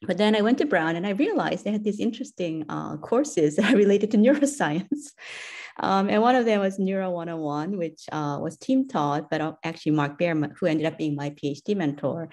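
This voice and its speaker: Low-voiced Korean-born woman scientist on a video call. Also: lively intonation, fairly quick, a little mellow. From South Korea. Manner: thoughtful, many "um"s, light laughs. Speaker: neuroscience professor, guest. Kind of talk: casual interview chat. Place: home room, indoors.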